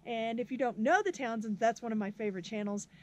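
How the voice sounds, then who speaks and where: A middle-aged woman with a slightly high voice talks in an easy, chatty way. She laughs often and keeps things upbeat. She is recorded outdoors on a camera held at arm's length. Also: quick pace, a little mellow, highs cut off. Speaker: vlogger, history teacher. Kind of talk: casual outdoor vlog chat. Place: outdoors at a windy off-grid camp.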